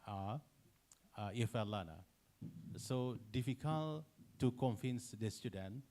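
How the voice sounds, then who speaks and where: Middle-aged Indonesian-accented man, conference presenter; halting, many fillers, restarts himself, mid pitch. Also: a little mellow. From Kerinci, Sumatra, Indonesia. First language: Indonesian regional dialect. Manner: halting, full of fillers and self-corrections. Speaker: conference presenter answering a question. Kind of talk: unscripted conference Q&A answer.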